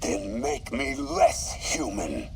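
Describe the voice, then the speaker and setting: Slow, gravelly, menacing mob boss. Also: male voice, very high-pitched, wildly swooping pitch, recorded from a distance, slight background noise, full character voice. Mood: cold menace. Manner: commanding, deliberate threats. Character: menacing half-machine male mob boss. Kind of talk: video game villain acting.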